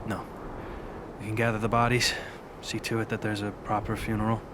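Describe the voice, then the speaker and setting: An actor playing a composed, commanding leader of a monster band in a radio drama, terse and controlled. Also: male voice, fairly low pitch, flat delivery, a little fast, noisy background, close to an everyday voice. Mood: somber. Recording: dramatic music behind.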